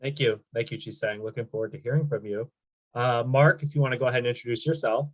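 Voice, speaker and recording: A man's voice: mid-range voice, steady tone, quick pace, slight background noise, low-quality recording. A man moderating a panel webinar over a video call, friendly and upbeat with frequent ums. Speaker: event moderator.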